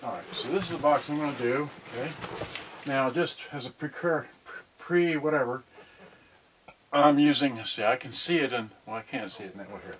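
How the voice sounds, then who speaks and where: A man narrating an unboxing off the cuff in a casual, halting way, thinking aloud in broken fragments. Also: medium pitch. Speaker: vlogger unboxing and testing a vintage cassette radio. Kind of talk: unscripted casual narration while handling items. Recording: distant mic, loud noise, clicks and handling.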